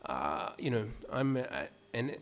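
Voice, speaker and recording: A man's voice: mid-range voice, very animated, takes it slowly, gravelly. A man with a New Zealand accent, an author telling a live audience in casual, rambling talk full of restarts. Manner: chatty and rambling, many restarts and "you know". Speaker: author reading at a literary event.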